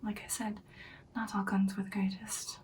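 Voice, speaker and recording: A woman playing an ancient, confident female vampire girlfriend in an ASMR roleplay, whispering breathily in an intimate, unhurried, matter-of-fact way. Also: medium pitch, level tone, darkish tone, far from the mic, slight background noise, close to an everyday voice. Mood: softly confident.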